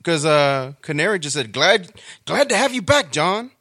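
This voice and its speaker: This is a man with a bright, forceful voice that leaps widely up and down. He chats casually as an amateur performer rehearsing his lines. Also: a little high, very animated, normal speed.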